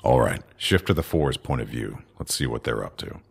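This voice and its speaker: An actor playing a stern, watchful mission leader in an audio drama, commanding and deliberate, with a gravelly, husky voice. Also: male voice, extremely low pitch, lively intonation, full character voice.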